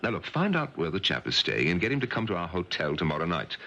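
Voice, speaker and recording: An actor playing a blunt, skeptical, wealthy banker in a radio drama, with a gravelly voice and a pompous, commanding manner. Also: male voice, low-pitched, huge pitch swings, fast talker, pushed and bright, exaggerated voice. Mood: brusque, imperious. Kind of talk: 1970s radio drama acting. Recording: dull 1970s radio broadcast.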